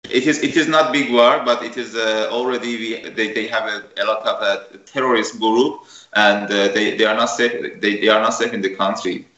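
Iranian man in halting, echoey English. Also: lowish voice, steady tone, pushed and bright. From Iran. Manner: halting non-native English, searching for words. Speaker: refugee guest. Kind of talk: video call interview. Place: room with bookshelves. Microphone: headset. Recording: echoey room, dull lo-fi video call audio.

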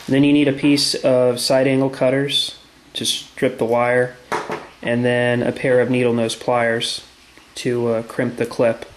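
A man giving a casual hands-on how-to demonstration, explaining plainly step by step. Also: mid-range voice. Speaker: tutorial presenter. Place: indoors at a tiled tabletop. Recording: echoey room, background noise.

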